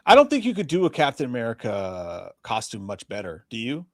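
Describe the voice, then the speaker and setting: Animated, lively-pitched man chatting movies. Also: no room sound, clean studio sound. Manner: animated, profane, quick to laugh. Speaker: podcast co-host. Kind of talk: casual live movie chat.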